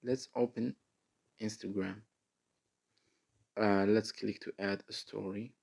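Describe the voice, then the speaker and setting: A man with a low voice and a heavy, creaky fry hosts a how-to tutorial. He walks through each step in a deliberate, halting manner, addressing the viewer directly. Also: fairly even intonation, darkish tone. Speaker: tutorial host. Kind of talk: step-by-step screen tutorial narration.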